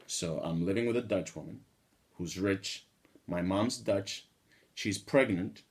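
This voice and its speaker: A man in his thirties from the Dominican Republic, talking straight to the camera from a small room and building an earnest, indignant argument. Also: deep voice. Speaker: vlogger. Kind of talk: direct-to-camera monologue. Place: small indoor room at a table.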